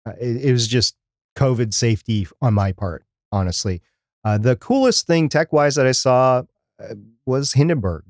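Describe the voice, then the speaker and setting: A man's voice: mid-range voice, normal speed, darkish tone, no echo, clean studio sound. A middle-aged man, casually recapping as a podcast co-host in a rambling, plain-spoken way. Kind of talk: casual conference recap on a live chat show.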